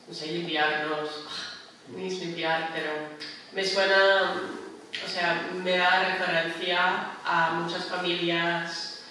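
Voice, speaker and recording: A man telling a personal family story at a public talk, halting and searching for words. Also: sits high, bright, forceful tone, slight background noise. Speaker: panel speaker. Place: meeting room at a union headquarters. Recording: echoey room, speaker far from mic.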